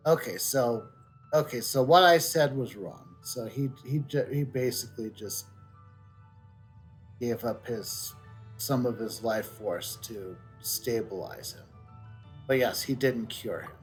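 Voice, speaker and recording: A man giving casual gameplay commentary, halting as he thinks aloud. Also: medium pitch, soft background music. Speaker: game streamer.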